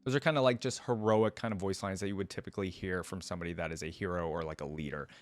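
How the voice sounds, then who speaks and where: A man's voice: sits low, steady tone, very fast. A man hosting a game reaction channel, chatting casually and enthusiastically as he comments. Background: faint music.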